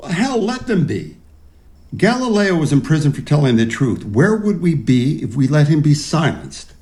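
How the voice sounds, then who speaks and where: A man's voice: fairly high pitch, very animated, normal speed, darkish tone. A man acting as an earnest, stubborn, idealistic neuroscientist in an audio drama. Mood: indignant, insistent. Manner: earnest, insistent.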